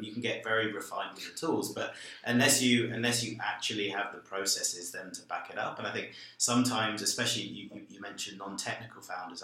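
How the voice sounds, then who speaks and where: A man's voice: fairly low pitch, sharp tone. A man, speaking as a panel guest about hiring, rambling with lots of "I mean" and "um". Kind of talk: panel discussion. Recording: very echoey room.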